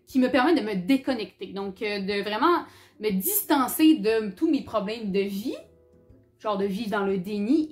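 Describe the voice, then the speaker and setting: A young woman with a Quebec French accent, from Abitibi, talking candidly and casually about books in her vlog. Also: fairly high pitch. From Abitibi-Témiscamingue, Quebec. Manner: chatty and candid, with fillers, English words and swearing. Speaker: book YouTuber. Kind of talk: casual book-review vlog.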